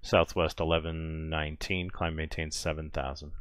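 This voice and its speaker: A man with a very deep, low voice works as a departure controller. He delivers short, procedural air traffic instructions in a clipped manner. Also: little pitch movement, moderate pace, a bit bright, clean tone, no echo, studio quality.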